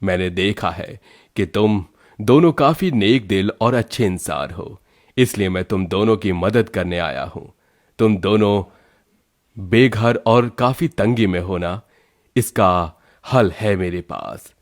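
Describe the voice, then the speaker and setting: A man voicing a benevolent magical prince in a cartoon story, calm, assured and formal. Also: on the low side, takes it slowly, no room sound, studio quality. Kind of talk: Hindi cartoon story acting.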